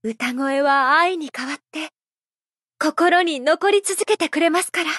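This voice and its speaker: A woman voicing a gentle, elegant, playfully affectionate idol singer in a mobile game, speaking in a soft, measured, heartfelt way. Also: high-pitched, no room sound, studio quality. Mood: sincere, uplifted.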